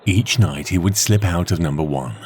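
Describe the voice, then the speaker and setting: A man reading a scripted children's story in a measured, expressive way, with deliberate pauses. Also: low voice, background noise. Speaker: storybook narrator.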